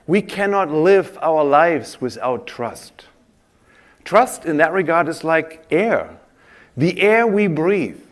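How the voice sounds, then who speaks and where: A man giving a conference presentation on stage, an animated storyteller who draws the audience in. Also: a little high. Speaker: conference speaker. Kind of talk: keynote-style presentation.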